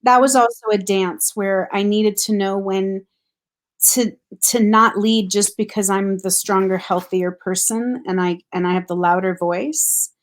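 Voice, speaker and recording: A middle-aged woman talks in an earnest, reflective way. Her voice sits at an ordinary middle pitch, and she chuckles lightly between thoughts. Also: steady tone, a little slow. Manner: thoughtful, earnest, laughs softly. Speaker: grief counselor guest. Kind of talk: reflective casual conversation.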